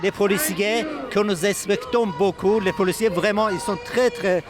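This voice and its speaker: A fairly high-voiced man searches for his words, stopping and restarting often. Music and a crowd carry on around him outdoors. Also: steady tone. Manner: halting, many pauses and fillers. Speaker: protester. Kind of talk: street interview. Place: outdoor city protest.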